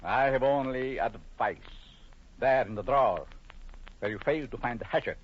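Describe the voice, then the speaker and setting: An actor playing a coldly vengeful, jealous doctor with menacing mock courtesy in a vintage radio horror drama. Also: male voice, mid-range voice, moderate pace, breathy edge, close to an everyday voice. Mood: gloating menace. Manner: menacing, mock-polite, theatrical. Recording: muffled vintage radio broadcast.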